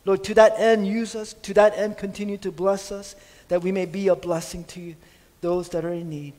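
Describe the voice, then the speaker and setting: A middle-aged man with a slightly raised voice leads a church prayer, earnest and halting, often pausing on fillers. His voice rings with a long reverb off the sanctuary walls. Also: sits high, level tone, warm tone. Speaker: pastor. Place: church sanctuary stage at a pulpit.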